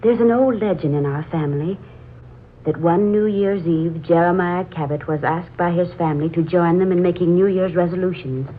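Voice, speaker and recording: A woman's voice: fairly low pitch, normal speed, a little hiss or hum, lightly acted. An actress playing an earnest, solemn young woman uneasy about her family legend, in 1940s radio drama style. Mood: earnest explaining. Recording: muffled 1940s radio recording, organ music behind.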